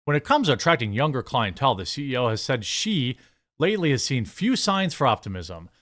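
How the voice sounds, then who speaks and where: A man's voice: mid-range voice, big pitch swings, brisk, fairly bright tone. Blunt, sarcastic American male commentator. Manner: blunt, sarcastic, exasperated asides. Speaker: commentary show host. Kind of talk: opinionated news commentary, reading articles aloud and reacting.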